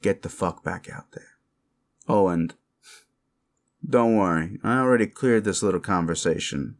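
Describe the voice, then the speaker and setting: A man's voice: sits low, a little slow. A man voicing a sleazy, bullying werewolf boss in an audio roleplay, crude, condescending and threatening. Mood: smug, taunting. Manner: menacing, crude, taunting, condescending. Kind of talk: roleplay audio drama monologue.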